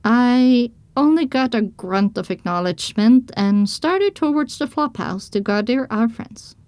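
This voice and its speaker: Female audiobook narrator, fairly high voice, expressive storytelling, scripted first-person narration, dry studio sound. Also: measured pace, smooth, no room sound.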